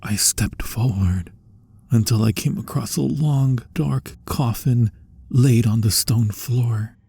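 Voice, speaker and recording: An anguished vampire confesses to a lost love in an ASMR audio drama, played by a man. His delivery is very slow and hushed, with dramatic pauses. The voice is dark and soft with a gravelly creak. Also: mid-range voice, heavily acted. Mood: haunted, dreading. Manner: hushed, breathy phrase endings, deliberate dramatic pauses.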